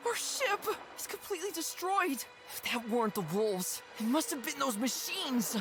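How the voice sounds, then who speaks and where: Young deer adventurer, animated delivery. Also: female voice, sits high, normal speed, over music. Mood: worried. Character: plucky young deer adventurer, earnest and curious. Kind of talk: cartoon adventure game voice acting.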